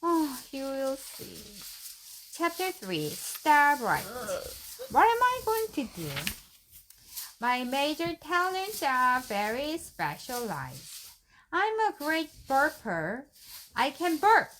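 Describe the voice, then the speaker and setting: Mom reading bedtime story at home, playful, voice jumps all over. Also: female voice, sits high, slow, a little hiss or hum, poor audio. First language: Korean. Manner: animated, playful reading with giggles. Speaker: mother reading to her child. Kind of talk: bedtime storybook read-aloud.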